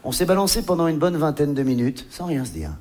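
A man's voice: fairly high pitch, big pitch swings, quick pace, a little mellow. A man performing a comic monologue, conversational and mock-earnest, often interrupting himself as he tells his story. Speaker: stand-up comedian. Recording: clear background noise.

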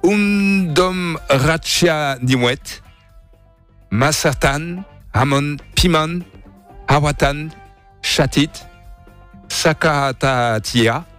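A man's voice: a little high, extremely slow, pauses often, fairly bright tone, clear, resonant tone, faint music behind. A man hosting a morning radio show, joking and playing it up theatrically. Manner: playful, theatrical delivery. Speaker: radio host.

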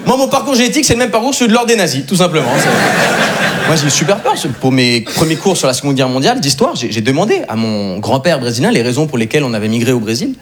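A young man from Switzerland telling self-mocking stories as an animated, conversational stand-up comedian on a live stage. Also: on the high side, fast talker, slight background noise. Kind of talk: stand-up storytelling to a live audience.

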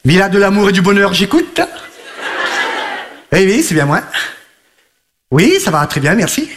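A man's voice: high voice, very animated, a little slow, noisy background. A man playing a boastful, party-loving husband who juggles his wife and mistress in a radio comedy sketch, talking in broken French, rambling and laughing. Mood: flustered, chatty. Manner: sing-song chanting and sudden shouting.

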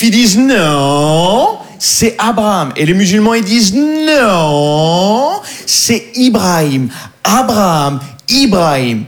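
Animated young stand-up comedian. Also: male voice, on the high side. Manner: animated storytelling, slows down for punchlines. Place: comedy club stage.

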